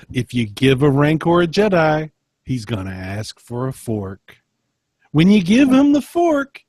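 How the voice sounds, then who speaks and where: A man reading as a slightly exasperated children's storybook narrator, in a sing-song, drawn-out delivery. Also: sits high, dry recording, clean studio sound, full character voice. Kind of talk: expressive children's picture book read-aloud.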